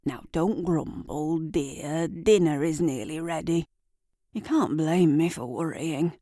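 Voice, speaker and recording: A lonely man voiced by a woman narrator murmurs fondly and fretfully in an audio drama. The delivery is soft and gently fussy, at a lowish pitch. The sound is very dry and close. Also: slow pace, no room sound.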